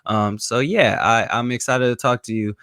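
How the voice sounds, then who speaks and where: A man's voice: mid-range voice, moderate pace, smooth, no room sound, studio quality. A young man hosting a podcast, chatting casually and rambling with lots of fillers. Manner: relaxed, rambling with many fillers. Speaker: podcast host. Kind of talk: casual interview chat.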